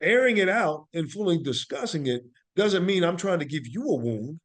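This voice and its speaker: A man with a somewhat higher-pitched voice speaks as an interview guest. He tells stories in a conversational way and makes his points in deliberate, emphatic short statements. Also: lively intonation. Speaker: podcast guest, actor and playwright.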